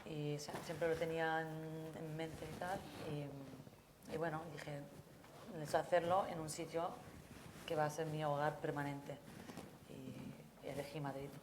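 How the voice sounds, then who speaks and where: A woman chef in a casual panel chat, speaking hesitantly with many fillers and restarts. Her voice sits very low for a woman. Clear background noise runs behind her. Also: little pitch movement, a little slow. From Abu Dhabi, of Palestinian-Syrian descent.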